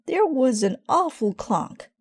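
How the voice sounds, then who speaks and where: A woman's voice: high-pitched, big pitch swings, slow, husky, dry recording, studio quality, voice pushed up, full character voice. A woman voicing a kindly elderly grandmother bear in a children's storybook, solicitous and concerned. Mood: alarmed.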